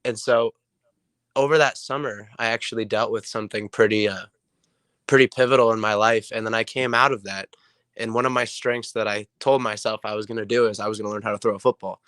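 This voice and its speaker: Young guy sick and raspy, lots of ums, low-ish voice, dry sound. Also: steady tone, a little fast, fairly bright tone, dry recording. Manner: rambling, many ums. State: hoarse from illness. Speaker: player guest. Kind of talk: casual interview answers.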